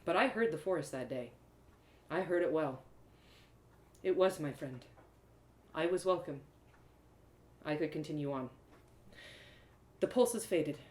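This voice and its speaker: A woman giving an expressive, theatrical reading of a first-person fantasy story as a storyteller. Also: low-pitched, unhurried, long pauses. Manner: theatrical, dramatic phrasing. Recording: roomy echo.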